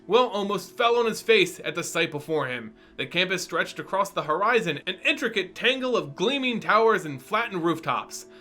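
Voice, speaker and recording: A man narrates a fantasy tale in a dramatic style. His voice is fairly high, bright and animated, set over faint music. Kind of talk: dramatic story reading.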